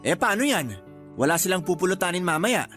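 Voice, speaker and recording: An older brother with grown sons in a family radio drama. He wheedles for favors and bristles at any criticism, with soft music underneath. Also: sits high, animated, brisk. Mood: defensive. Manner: wheedling, touchy, argumentative. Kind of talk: Tagalog radio drama acting.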